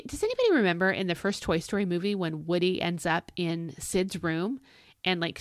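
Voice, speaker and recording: Low-pitched woman, slightly scratchy, earnest. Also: no room sound, clean studio sound. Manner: conversational, earnest, emphatic repetition of key words. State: slightly scratchy, starting to lose her voice. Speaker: podcast host teaching the Bible. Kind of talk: casual devotional Bible talk.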